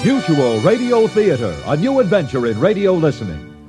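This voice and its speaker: A man's voice: a little high, huge pitch swings, normal speed, over loud music. A man announcing a radio theater program in old-time radio style.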